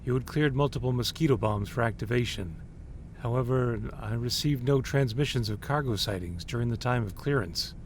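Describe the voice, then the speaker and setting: An actor playing a cold male superior, calmly and accusingly questioning a subordinate. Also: medium pitch, a little hiss or hum, close to an everyday voice. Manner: measured, accusatory. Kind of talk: audio drama acting.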